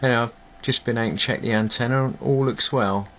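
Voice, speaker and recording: A man chatting casually as an amateur radio operator, demonstrating a contact in a hesitant, measured way. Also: mid-range voice, steady tone, fairly bright tone.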